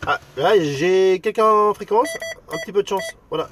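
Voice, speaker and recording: A man's voice: high voice, moderate pace, a bit bright. A man from France working as an amateur radio operator, making radio calls and chatting in a friendly, informal, hesitant way. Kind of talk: radio calls and casual chat.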